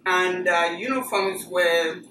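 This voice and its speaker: Low-voiced Ghanaian woman telling her story. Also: fairly even intonation, a bit bright. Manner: measured, plain storytelling with fillers. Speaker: interviewee. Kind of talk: oral history interview. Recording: echoey room, distant mic, noisy.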